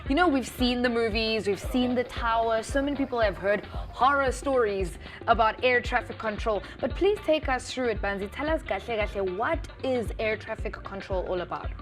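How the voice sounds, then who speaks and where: South African female youth-TV presenter, chatty and expressive, pitched a little high, music behind. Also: moderate pace, few pauses. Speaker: TV show host. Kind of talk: upbeat youth TV interview. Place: TV studio.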